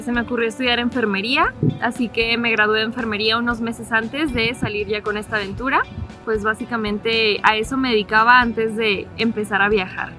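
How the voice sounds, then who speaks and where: A young Mexican woman in her twenties talks to camera in a relaxed, chatty way, full of little fillers and quick laughs. Her voice sits a bit high. Music plays clearly underneath her. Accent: Mexican Spanish. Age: 20s. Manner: chatty, laughs easily, loose storytelling with fillers. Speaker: travel vlogger. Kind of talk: casual sit-down chat to camera. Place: seated indoors facing the camera.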